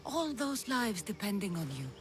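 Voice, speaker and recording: A woman's voice: medium pitch, sing-song, moderate pace, soft background music, natural voice. A woman playing a tough, confident mercenary of ancient Greece in a video game cutscene, speaking bluntly. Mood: calm, persuading.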